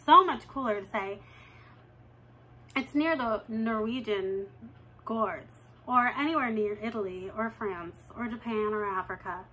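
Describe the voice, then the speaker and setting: A young woman reading a children's novel aloud at home, with lively jumps in pitch when she reads dialogue. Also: slight background noise, poor audio. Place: living room at home, indoors.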